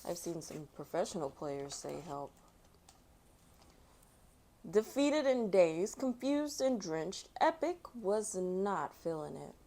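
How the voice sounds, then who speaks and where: A woman hosting a storytime, reading aloud for children in an expressive way and chatting casually between passages. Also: fairly low pitch. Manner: expressive, acts out sound effects and exclamations. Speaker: storytime host reading a picture book.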